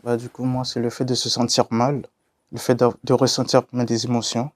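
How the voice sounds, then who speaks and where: Flat-voiced young man, halting guest. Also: medium pitch, no room sound, studio quality. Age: 20s. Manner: halting, repeats words. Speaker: panel guest. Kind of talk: casual discussion.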